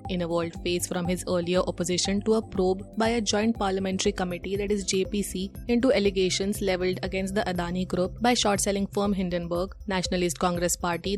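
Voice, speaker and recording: A woman's voice: medium pitch, darkish tone. A woman with an Indian accent presenting a scripted news bulletin as a newsreader.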